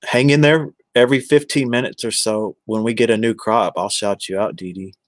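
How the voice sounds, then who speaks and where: A man's voice: on the low side, fairly even intonation, brisk, dry recording. An American man in his forties chatting casually on a livestream, rambling and addressing viewers one by one. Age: 40s. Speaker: live streamer.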